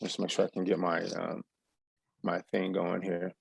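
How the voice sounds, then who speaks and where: A man's voice: low-pitched, level tone, moderate pace, gravelly, slightly rough, dry recording, studio quality. A middle-aged man from Texas, giving a loose, rambling presentation to an audience with plenty of ums and restarts. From USA, San Antonio, Texas.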